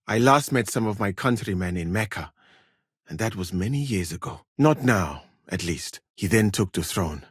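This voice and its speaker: Casting: courteous, learned traveler-physician for a game; lowish husky voice, formal careful wording, expressive swings in pitch. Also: male voice, dry recording, studio quality. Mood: guarded, reflective. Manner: measured, formal, careful phrasing. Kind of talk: video game character dialogue.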